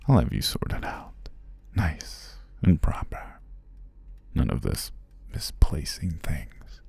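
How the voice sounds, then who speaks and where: A man playing a menacing, obsessive captor in an ASMR roleplay, savoring his phrases in a breathy, husky whisper with a gravelly murmur. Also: sits low, dark tone, exaggerated voice. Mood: stern, controlling. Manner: slow, savoring, drawn-out phrases with pauses. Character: menacing obsessive male captor, gloating and possessive. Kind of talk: ASMR roleplay, intimate scripted monologue.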